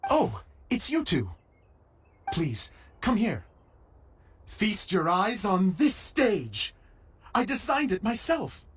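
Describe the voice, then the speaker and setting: An actor playing an eager, earnest amateur theater director in a video game, with a theatrical, chatty manner. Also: male voice, sits high, wildly swooping pitch, slow pace, long pauses, a little hiss or hum, heavily acted. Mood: proud. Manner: theatrical, chatty, self-important yet grateful. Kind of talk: video game cutscene acting. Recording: game music underneath.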